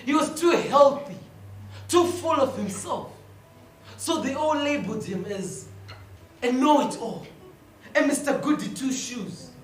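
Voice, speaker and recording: A woman narrating a scripted fable theatrically as a stage storyteller, deliberate and dramatic, with repetition and long pauses. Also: sits high, expressive, distant mic, slight background noise. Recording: echoey stage recording from afar, music behind.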